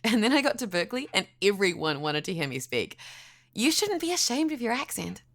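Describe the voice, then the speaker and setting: A frank New Zealander survivalist. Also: female voice, medium pitch, very animated, brisk, a bit bright. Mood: teasing.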